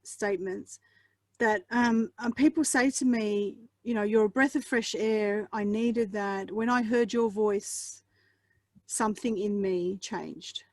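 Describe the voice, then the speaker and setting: A woman's voice: mid-range voice, slow, darkish tone, dry recording. A woman hosting a casual interview, her mid-range voice close on a dry mic. She drifts into long, reflective questions drawn from her own life. Between her questions she murmurs small agreements. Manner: gives frequent small agreements, asks long musing questions. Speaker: podcast host.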